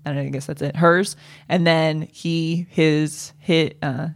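A woman co-hosting a comedy podcast, chatting casually with a somewhat low voice and rambling through many fillers and restarts. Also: steady tone, measured pace. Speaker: podcast co-host.

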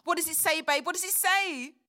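A woman acting a young, affectionate, devout pregnant student in a modern audio play, expressive and given to gushing. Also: very high voice, a little fast, no echo, clean studio sound. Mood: excited.

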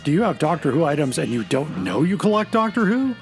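Middle aged guy doing a podcast promo, really animated, highish voice, music underneath. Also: fast talker. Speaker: podcast host. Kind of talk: scripted promo read.

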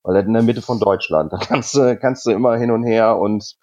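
A man from Hesse with a light Hessian accent chats and jokes in a loose, rambling way. His voice is a bit husky. The recording sounds dull and slightly lo-fi. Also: fairly low pitch, a little fast, mellow. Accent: Hessian German. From Germany, Hesse. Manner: joking, rambling, with fillers. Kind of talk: casual podcast chat.

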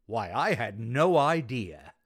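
Actor as man about town, radio sitcom, theatrical, unhurried, hugely varied intonation. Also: mid-range voice, very animated, no echo, studio quality. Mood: astonished, delighted. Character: suave, excitable bachelor man about town, a sitcom friend.

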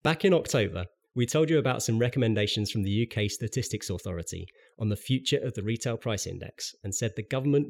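A man's voice: fairly low pitch, creaky. A man hosting a podcast, reading a scripted pensions news update with dry, wry asides, sounding a little bunged up from a cold. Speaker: podcast host.

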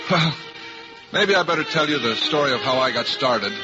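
A man's voice: a little high, animated, normal speed, natural voice. An actor playing an easygoing ballplayer telling his story in folksy, conversational radio drama narration. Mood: easy, reminiscing. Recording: dull old radio recording, loud music.

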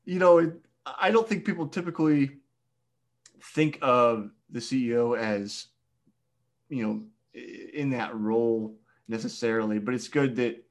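Male podcast host, relaxed questions. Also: mid-range voice, measured pace. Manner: relaxed, reflective questions with many fillers. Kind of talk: podcast interview.

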